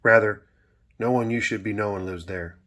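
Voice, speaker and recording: A man's voice: on the low side, fairly even intonation, normal speed, a bit bright, natural voice. A man voicing a stern, angry old grandfather from the country, preachy and commanding, in a dramatic story. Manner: preachy, commanding, rustic speech.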